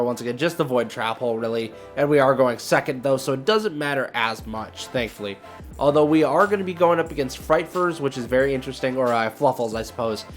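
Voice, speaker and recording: Chatty young man commentating over music. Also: medium pitch. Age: young adult. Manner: chatty, rambling, thinking aloud. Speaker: gaming video host. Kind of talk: casual gameplay commentary.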